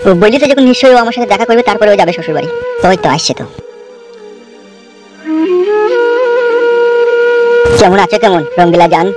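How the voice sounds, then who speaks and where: Man acting high-voiced lovesick village lad over music. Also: expressive, very fast. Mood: hopeful. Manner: pleading, dramatic. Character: lovesick, mournful jilted young village lover. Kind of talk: melodramatic comedy cartoon dubbing. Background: prominent music.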